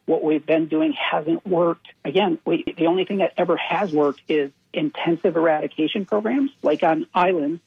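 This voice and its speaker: Husky man on a tinny phone line. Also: a little high, normal speed, few pauses, dry recording. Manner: earnest, repeats words as he restarts. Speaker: interview guest, animal welfare analyst. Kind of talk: phone-in radio interview.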